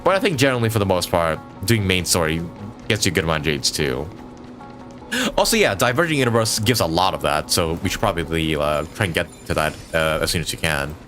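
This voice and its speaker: A man with a low voice streams a video game with clear music behind him. His delivery is casual and rambling, thinking aloud, with pitch that moves around in a lively way. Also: fast talker. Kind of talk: casual live gameplay commentary.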